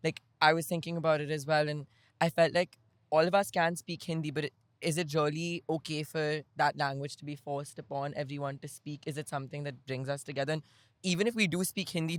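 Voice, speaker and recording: A woman's voice: deep voice, steady tone, a little fast, dry recording. Thoughtful young woman musing aloud. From India. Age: young adult. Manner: reflective, asks many questions, filler-heavy. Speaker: podcast guest. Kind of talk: casual group chat.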